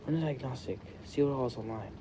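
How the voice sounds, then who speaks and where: A man's voice: medium pitch, fast talker, natural voice. A man playing a weary, practical teenage superhero boy who mutters in a fan-made audio drama. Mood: puzzled, terse. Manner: muttering under his breath. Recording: noisy recording with rain behind. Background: rain.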